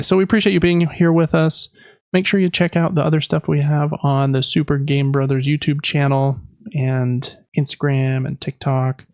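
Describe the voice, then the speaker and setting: A man hosts a casual podcast with a gravelly, slightly raised voice. He rambles conversationally and pokes fun at himself. The recording is dry and a little muffled. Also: fairly high pitch, a little mellow, dry recording. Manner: rambling, self-deprecating. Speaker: podcast host. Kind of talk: casual chat about games and life.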